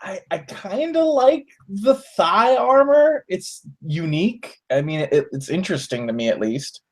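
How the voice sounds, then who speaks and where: A man's voice: high voice, animated, a little slow. A man co-hosting a live fan podcast, bantering and giving opinions with lots of restarts. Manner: joking, hesitant restarts when giving opinions. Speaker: podcast co-host.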